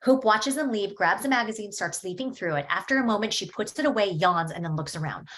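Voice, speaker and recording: A woman narrating a table read, reading scene headings and stage directions in a steady, plain way. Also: medium pitch, brisk.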